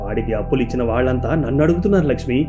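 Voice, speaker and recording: Irritable village farmer for animation, male actor, rapid delivery, clear music bed. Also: mid-range voice, lively intonation, natural voice. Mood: fed up. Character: irritable, fed-up farmer, elder brother. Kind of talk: cartoon story voice acting.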